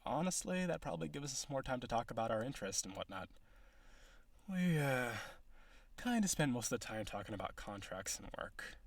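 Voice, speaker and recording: Man playing a smug, animated flirty villain. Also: medium pitch, fairly quick, dry recording. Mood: flirty, teasing. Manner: conversational, smug, sardonic, teasing. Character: cocky flirtatious male businessman villain on a date. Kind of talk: flirty audio roleplay monologue.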